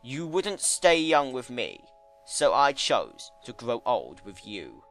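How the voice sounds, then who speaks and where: A man performs an ancient male chaos creature from a dramatic fan-fiction reading. He is smug yet weary and tender, speaking slowly and emphatically with big swings of pitch. Music plays behind the bright, forceful voice. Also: huge pitch swings, exaggerated voice. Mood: resigned confession.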